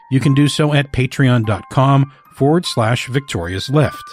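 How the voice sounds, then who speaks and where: A man hosting a podcast, reading a scripted introduction in an earnest, measured way. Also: medium pitch, darkish tone. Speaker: podcast host.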